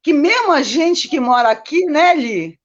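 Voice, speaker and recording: Chatty female Brazilian guest, high voice, casual storyteller full of tag questions, muffled lo-fi audio. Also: animated, a little slow. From born in Manaus, lived mostly in Minas Gerais, Brazil. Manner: chatty, constantly seeking agreement with tag questions. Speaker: guest, Copacabana resident.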